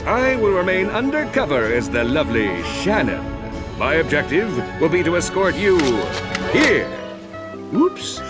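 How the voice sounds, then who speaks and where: An actor voicing a vain, goofy, self-important space hero in a hammy video game style. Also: male voice, fairly high pitch. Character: boastful, goofy, vain male space-hero sidekick schemer.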